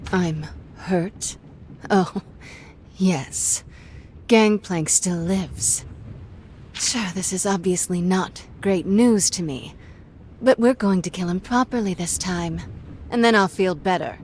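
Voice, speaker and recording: A woman's voice: slow pace, pauses often, a little mellow. A woman playing a tough, vengeful pirate captain in a video game, sarcastic and commanding. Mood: sarcastic, irritated. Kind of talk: dramatic video game acting. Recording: faint rumbling background noise.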